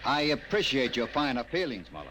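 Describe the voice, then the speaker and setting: An actor playing a curt, domineering army general in a 1950s radio drama, barking orders. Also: male voice, mid-range voice, big pitch swings, brisk, a little hiss or hum, poor audio. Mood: impatient. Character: gruff domineering army general, middle-aged man. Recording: old radio broadcast with loud music.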